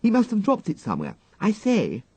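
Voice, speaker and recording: Audiobook role: male reader as a mischievous little English schoolgirl, high, lilting, gushing with glee. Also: huge pitch swings, brisk, a little mellow, slightly rough, no room sound, heavily acted. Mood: gleeful, eager. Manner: eager, gushing.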